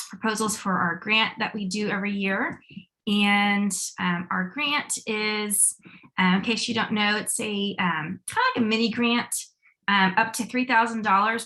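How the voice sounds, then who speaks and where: A woman hosts an online session and runs through announcements over a video call. Her voice is bright and forceful, at a middle pitch. She hesitates often, filling gaps with ums. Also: poor audio. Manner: hesitant, many ums and restarts. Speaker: event host making announcements.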